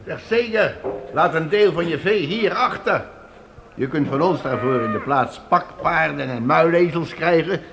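An actor playing a practical, plain-speaking fort trader in a radio play, giving matter-of-fact advice. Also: male voice, on the high side, expressive, a little slow. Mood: bargaining. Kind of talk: Dutch radio play acting. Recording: noisy old radio play recording.

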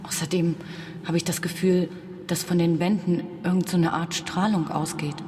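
A woman's voice: lowish voice, level tone, normal speed, lightly acted. An actress playing a blunt, irritable young woman, somebody's best friend, in naturalistic radio drama dialogue. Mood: matter-of-fact. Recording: clear ambient noise behind the voice. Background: ambient noise.

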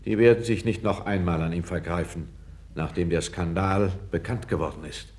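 An actor playing a calm, candid foreign court councillor, speaking with measured, deferential gravity in a 1960s radio play. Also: male voice, low voice, close to an everyday voice. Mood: deferential, matter-of-fact. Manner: measured, grave, deferential yet frank.

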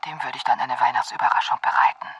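An actress playing a caring mother reading out her letter in a radio drama, in a breathy, husky voice. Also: rumbling low, fairly even intonation, fast talker, a bit bright, dry recording, in a whisper, heavily acted. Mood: kindly, eager. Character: caring mother writing to her sailor son.

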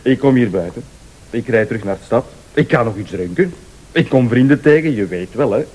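An actor playing a jovial, tipsy late-night visitor who rambles and exclaims in a radio thriller. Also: male voice, mid-range voice, animated, a little fast, darkish tone, close to an everyday voice, rough recording. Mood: jovial, rambling.

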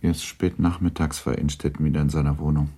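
A man narrating a literary radio drama in a sober, measured way. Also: a bass voice, level tone, dark tone, slightly rough.

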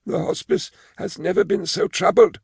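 A man voicing a meek, troubled hospice brother with a thin, husky, quiet and halting delivery. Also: a little high, dry recording, studio quality, pitched above the performer's own voice, full character voice. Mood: troubled, regretful.

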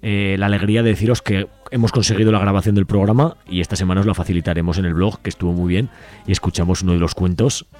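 A man's voice: deep voice, steady tone, fast, a little mellow. A man from Spain, reading out events as a radio co-host in an informal, wry, casual way.

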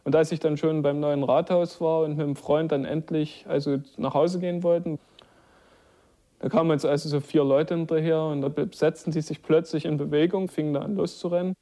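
A man tells his own story in loose, colloquial sentences. His voice sits fairly high, with a soft, dark timbre and little melodic movement. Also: fairly even intonation, brisk. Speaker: eyewitness recalling his arrest. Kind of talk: informal spoken recollection.

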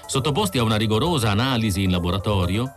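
A man's voice: lowish voice, animated, quick pace, over music, pitched above the performer's own voice, natural voice. A man voicing an earnest chief investigator explaining findings on television, emphatic and declarative, in an audiobook. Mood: solemn, revelatory.

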